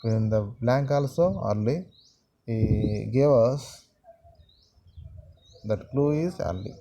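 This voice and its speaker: A man teaching a grammar lesson to students, repeating sentences and pausing often with fillers. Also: mid-range voice, mellow, muffled recording, slight background noise. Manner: slow, repetitive, halting with many fillers.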